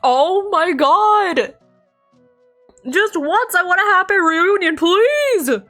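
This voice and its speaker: A young woman streamer reacting live to a game, thinking aloud, excitable, swearing and laughing. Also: very high-pitched, slow pace, soft background music.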